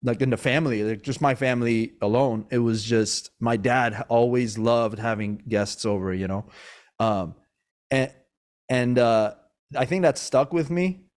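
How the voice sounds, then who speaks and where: A man hosting a relaxed podcast conversation, born in Iran and raised in Maryland. He talks in a loose, rambling way, full of fillers and restarts. His voice sits at an ordinary middle pitch for a man. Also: a little slow. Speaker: podcast host. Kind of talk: casual interview chat. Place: Sacramento, California.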